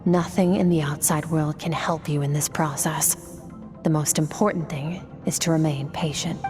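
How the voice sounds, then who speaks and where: A woman voicing a stoic, aloof female warrior mentor in a video game, cool, measured and matter-of-fact. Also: deep voice, steady tone, over music, lightly acted. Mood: cool, composed.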